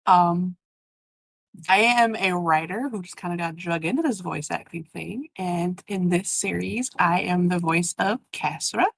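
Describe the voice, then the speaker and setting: A woman's voice: fairly low pitch, unhurried, gravelly, slightly rough, no echo, rough recording. A woman voice actress chatting casually in a group, informal and enthusiastic. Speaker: voice actress and writer.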